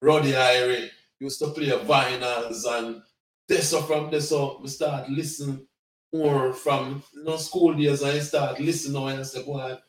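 A man in his sixties with a Jamaican accent, a reggae singer telling stories of his youth in a relaxed interview. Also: mid-range voice, unhurried, roomy sound, recorded from a distance, low-quality recording. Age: 60s. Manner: relaxed, laughing, patois phrases. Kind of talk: casual interview storytelling.

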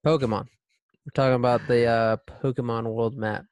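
A man's voice: mid-range voice, steady tone, a little fast, a little mellow, smooth, no echo. A man with a Texan accent, co-hosting a casual chat, rambling and swearing as he talks. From Texas. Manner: rambling, profane, trails off. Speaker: podcast co-host.

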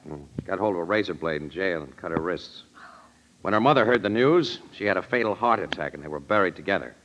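A low-voiced, streetwise crime photographer from 1940s radio drama. He talks in wry, laconic slang with a tough edge. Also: lively intonation, fast, highs cut off, slight background noise. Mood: matter-of-fact.

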